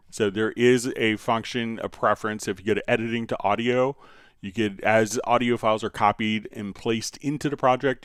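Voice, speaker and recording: A man's voice: lowish voice, clear, resonant tone, no echo, studio quality. A man hosting a casual tutorial livestream, explaining things step by step as he answers viewer questions. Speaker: livestream host, software instructor.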